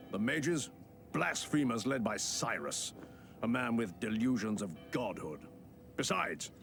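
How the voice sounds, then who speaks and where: A dutiful knight commander speaks in measured, formal phrases. His voice is gravelly with a lively pitch. Game music plays clearly behind him. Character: loyal, dutiful older male knight commander. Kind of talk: video game cutscene voice acting.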